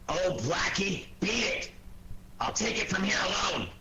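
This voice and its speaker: An actor playing a blustery, irritable police inspector with an American accent and a gruff, gravelly, bossy delivery in a 1940s radio drama. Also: male voice, high voice, lively intonation, measured pace, sharp tone, full character voice. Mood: defensive, irritable. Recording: noisy 1940s radio recording.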